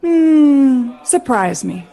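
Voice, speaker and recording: An actress playing a poised, glamorous and warm lady star of the 1940s in a period audio drama, with a husky voice. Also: high voice, big pitch swings, very slow, faint music behind, full character voice. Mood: playful, gracious.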